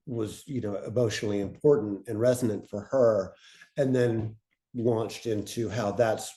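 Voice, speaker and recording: A man co-presenting an informal workshop, explaining in a halting way with frequent "uh" and "you know". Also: lowish voice, dark tone.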